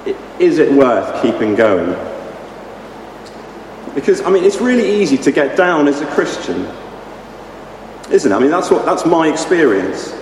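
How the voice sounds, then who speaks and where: Croaky male preacher, hesitant and restarting, heard from across a reverberant hall. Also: fairly high pitch, big pitch swings, darkish tone. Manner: halting, with many restarts and ums. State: slightly croaky voice. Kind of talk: sermon. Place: church hall. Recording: echoey hall from far off, noisy.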